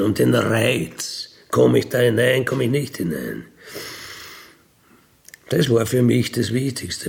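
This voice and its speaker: Slow, creaky-voiced Austrian man. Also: mid-range voice, a little mellow. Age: middle-aged or older. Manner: matter-of-fact, colloquial, unapologetic. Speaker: ex-convict interviewee telling his life story. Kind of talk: candid conversational recollection.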